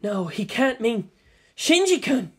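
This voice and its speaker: A narrator voicing a frightened, tearful young captive woman with a breathy, pleading, emotional delivery. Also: extremely high pitch, moderate pace, a bit bright, voice pushed up. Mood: anguished, tearful.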